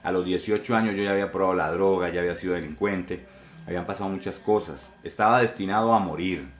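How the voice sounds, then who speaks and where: A Colombian man in his forties preaches his testimony in an earnest, direct way, circling back over his own words. His voice sits on the low side. The sound carries a noticeable room echo. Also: steady tone, quick pace, a little hiss or hum. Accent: Colombian Spanish. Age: 40s. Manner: earnest, repetitive, addressing listeners directly. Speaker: pastor. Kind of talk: sermon testimony.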